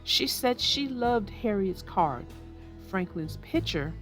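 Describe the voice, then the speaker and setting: Woman storyteller for kids, unhurried and sing-song, faint music bed. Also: medium pitch, rough recording. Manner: expressive, sing-song reading. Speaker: storybook narrator and host. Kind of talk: children's read-aloud narration.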